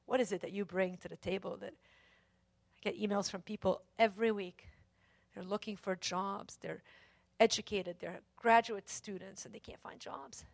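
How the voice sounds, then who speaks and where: A woman from Lebanon preaching a sermon, repeating phrases for emphasis and moving between hushed and forceful delivery. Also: mid-range voice, steady tone, normal speed, creaky, no room sound. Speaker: preacher, Bible teacher. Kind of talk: sermon with scripture reading and personal testimony.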